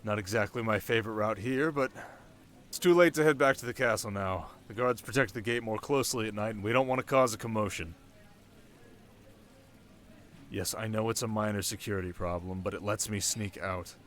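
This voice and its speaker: A man playing a young, sharp desert king in an intimate ASMR roleplay, teasing his new wife with measured, quietly commanding speech. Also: fairly low pitch, close to an everyday voice. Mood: wry.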